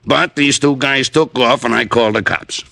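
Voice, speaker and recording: A man's voice: mid-range voice, normal speed, vocal fry, sharp tone, dry recording, clean studio sound. A man playing a surly, greedy bartender in an old-time radio crime drama, talking in tough street slang. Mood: matter-of-fact. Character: surly, greedy male dive-bar bartender.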